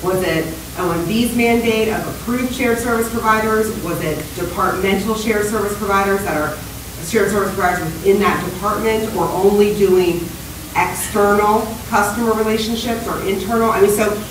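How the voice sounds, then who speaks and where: A woman in a conference audience asking probing questions in a loud voice. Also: medium pitch, moderate pace, background noise. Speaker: audience member. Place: conference room. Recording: distant in an echoey room.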